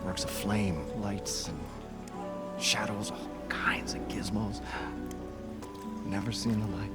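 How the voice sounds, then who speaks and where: A man's voice: lowish voice, takes it slowly, whispered. An actor playing a weary, grumbling moneyman in a video game, sardonic and exasperated. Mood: grudging, weary. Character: weary, grumbling male moneyman of a showmen's troupe. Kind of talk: video game dialogue acting. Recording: loud music behind the voice.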